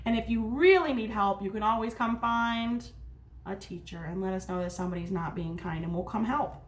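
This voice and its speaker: A woman's voice: medium pitch, fairly quick. A woman teacher reading a story aloud to young children, with simple, instructive asides.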